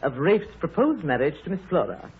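A woman's voice: sits low, very animated, fairly quick, husky, full character voice. An actress playing a nosy, bossy, know-it-all village woman with emphatic, fussy delivery in a 1930s radio drama. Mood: emphatic, certain. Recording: muffled, scratchy 1930s radio broadcast.

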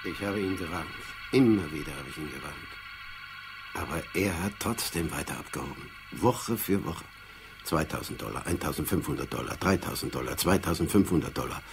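A man's voice: deep voice, a little hiss or hum. An actor playing a dry, fussy bookkeeper in his late sixties in a radio crime drama, precise and aggrieved.

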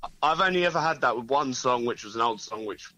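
Young Englishman, bright, hesitant answers. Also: mid-range voice, quick pace, no echo, dull audio, faint music behind. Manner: relaxed, hesitant, many restarts. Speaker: band member, guest. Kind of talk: casual interview answers.

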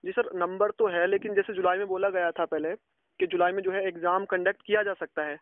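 Male caller, high voice, polite and deferential, heard over a tinny dry phone line. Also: steady tone, brisk, no echo. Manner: polite, deferential, repeats questions. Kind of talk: phone enquiry.

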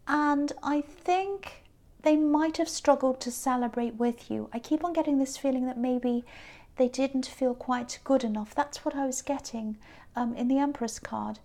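A woman giving a tarot reading off the cuff, hesitant with many ums and restarts, her voice a little croaky. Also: high-pitched, a little mellow. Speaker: tarot reader. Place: indoors at a tabletop card spread.

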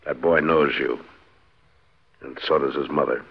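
An actor playing a steady, authoritative American frontier marshal in a 1950s radio western. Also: male voice, a little slow, slight background noise. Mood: menacing calm. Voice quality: very deep, husky. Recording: muffled 1950s radio recording.

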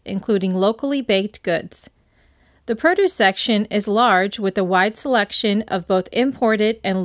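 A woman narrates a promotional piece in an even, informative tone at a middling pitch. The recording is dry and close, with no room sound. Her delivery is steady and scripted. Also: no echo. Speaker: voice-over narrator.

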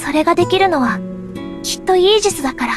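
A woman voicing a sweet, earnest young woman in an anime game, gentle and playfully deadpan. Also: very high-pitched, quick pace. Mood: gentle, sincere. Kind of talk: Japanese anime game voice acting. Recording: clear background music.

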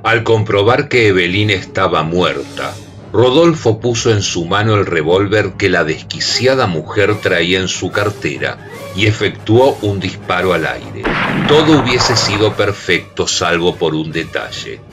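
A man narrating a radio drama in a measured, dramatic storytelling manner. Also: low-pitched, lively intonation, fairly bright tone, soft background music.

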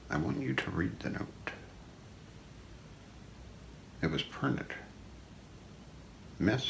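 Middle-aged male ASMR narrator: unhurried, hushed true-crime read with wide swings in pitch, faint background noise. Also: creaky. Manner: hushed, deliberate, often breaking into near-whisper. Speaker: ASMR role-play narrator. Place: small room indoors.

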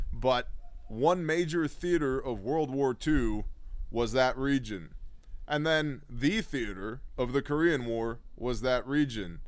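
A middle-aged man hosting a preparedness show, reading news articles aloud and breaking in with blunt, sarcastic remarks, recorded on a desk webcam. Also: medium pitch. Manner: sardonic, blunt asides between read passages.

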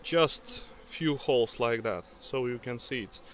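A non-native English-speaking man, a beekeeper, demonstrating outdoors in a grassy field and talking haltingly with many fillers. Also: medium pitch, a bit bright, slight background noise. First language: not English. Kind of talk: hands-on demonstration. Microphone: handheld camera mic. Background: bees buzzing.

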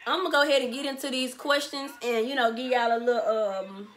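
A twenty-year-old woman from Memphis with a Southern accent, talking straight to camera in an animated, slangy way and repeating herself for emphasis. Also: high voice, fast talker, a little hiss or hum. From Memphis, Tennessee. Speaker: vlogger, small business owner. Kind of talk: casual Q&A vlog to camera. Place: bedroom, sitting on a bed, indoors.

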